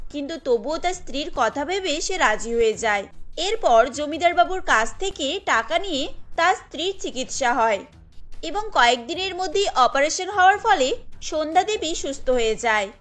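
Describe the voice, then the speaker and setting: A woman narrating a cartoon story in a steady, scripted storytelling manner. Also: sits high.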